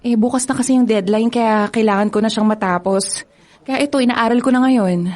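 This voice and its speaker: A woman's voice: fairly high pitch, fairly quick, darkish tone, close to an everyday voice. An actress playing a kind, studious young college woman who is shy and eager to help in a radio drama. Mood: sweet. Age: young adult.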